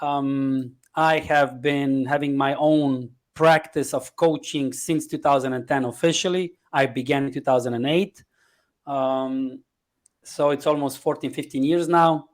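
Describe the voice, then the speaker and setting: Male podcast guest, Slovenian accent, medium pitch, conversational, speaks in clipped lists and fragments. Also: fairly even intonation, unhurried. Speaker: podcast guest, life coach. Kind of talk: conversational interview answers.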